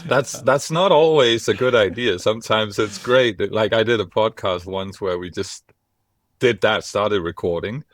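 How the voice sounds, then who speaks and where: A man from Denmark, a former monk, telling his life story as a podcast guest in a reflective, wry way with lively asides. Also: fairly low pitch, a little slow, no echo, studio quality. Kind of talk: casual podcast conversation.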